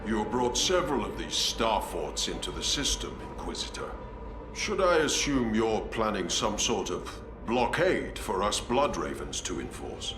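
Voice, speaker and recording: Resolute space marine commander, echoing. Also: male voice, on the low side, big pitch swings, unhurried, noisy background. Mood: suspicious. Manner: commanding, formal. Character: resolute, gruffly commanding male space marine chapter master. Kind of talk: scripted video game voice acting. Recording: echoey, music behind.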